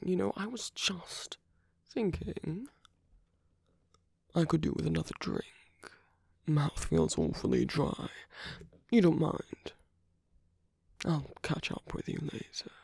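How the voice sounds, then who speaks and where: A man playing a suave, sly aristocratic villain in an ASMR roleplay, speaking in a soft, breathy, often near-whispered voice with languid, teasing politeness and veiled menace. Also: fairly high pitch, very animated, slow, long pauses, no echo, studio quality, full character voice. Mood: feigned polite boredom. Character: suave sly aristocratic villain, flirting and secretly scheming at a masquerade. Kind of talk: ASMR roleplay, intimate scripted acting.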